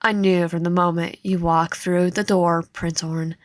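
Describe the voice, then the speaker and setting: A woman's voice: lowish voice, flat delivery, measured pace, natural voice. A woman voicing a kindly, welcoming old granny innkeeper while reading a visual novel. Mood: fond, gentle. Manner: welcoming, gentle. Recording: echoey room, faint music behind.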